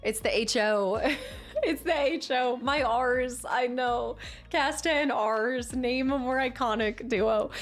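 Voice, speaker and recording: A woman's voice: on the high side, slow. Chatty woman streamer over faint music. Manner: chatty, laughing, self-teasing, reads chat aloud. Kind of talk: casual live-stream chat with viewers.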